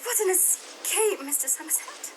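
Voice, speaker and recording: An actress playing a nervous, excitable young gentlewoman in a period audio drama, stammering and repeating her words. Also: extremely high pitch, normal speed. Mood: anxious, pitying. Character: nervous, excitable young aristocratic lady companion. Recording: voice over clear background noise.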